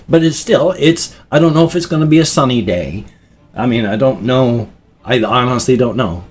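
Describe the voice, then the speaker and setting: A man's voice: medium pitch, husky. A man in his fifties vlogging from home at his desk mic, rambling and laughing at himself. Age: 50s. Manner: rambling, self-deprecating, chuckling. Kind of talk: casual chat. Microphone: desk microphone.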